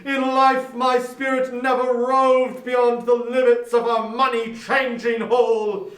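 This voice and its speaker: An actor playing the tormented ghost of a dead businessman in a dramatic reading, declaiming in drawn-out, anguished remorse. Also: very high voice, level tone, fairly bright tone, heavily acted. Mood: mournful, weary. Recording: echoey room sound.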